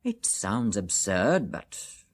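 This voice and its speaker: An actor playing a measured, matter-of-fact doctor in a radio drama, with an educated English accent and a thoughtful manner. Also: male voice, on the low side, sing-song, heavily acted. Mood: thoughtful, explanatory.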